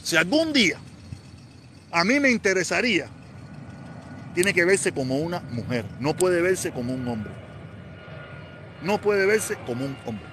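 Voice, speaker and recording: Man, 40s, Cuban accent from Havana, rambling, repeats himself, slightly high voice, faint noise. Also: very animated, unhurried, long pauses, pushed and bright. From Cuba. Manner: rambling, repeats himself, answers viewer comments. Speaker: live streamer. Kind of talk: casual live stream chat.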